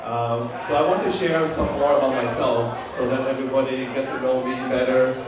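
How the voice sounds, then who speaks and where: A Vietnamese-born man in his fifties, whose first language is Vietnamese, gives a halting, filler-heavy birthday speech to guests at a party. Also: medium pitch, steady tone. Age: 50s. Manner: halting, many fillers and restarts. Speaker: birthday host giving a speech. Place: large room at a party. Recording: echoey party room, mic far off. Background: crowd of guests.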